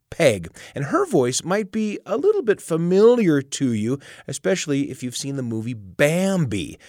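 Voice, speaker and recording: A man's voice: mid-range voice, dry recording, clean studio sound. A man presenting a radio show as its host in a conversational storytelling way. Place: radio studio.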